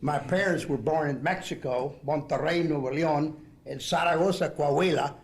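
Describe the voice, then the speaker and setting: Older man, sixties, Southeast Texas accent, high-pitched; earnest dinner speech, rambling with fillers. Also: normal speed. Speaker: speaker at an education program dinner. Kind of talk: speech to a group.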